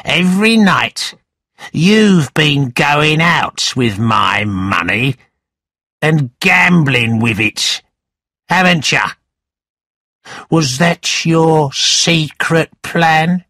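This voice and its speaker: A man voicing a scornful, sly, angry moneylender in a storybook, with a sneering, emphatic, drawn-out delivery. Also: a little high, animated, bright, forceful tone, dry recording, clean studio sound, voice pushed up, exaggerated voice. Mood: accusing.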